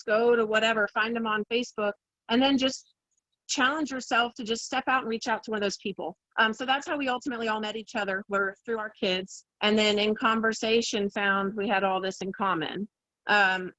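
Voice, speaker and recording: A woman's voice: fairly high pitch, a bit bright, dry recording, poor audio. A woman on an online call giving an informal personal account as an organizer of a community group. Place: online call from a rural home.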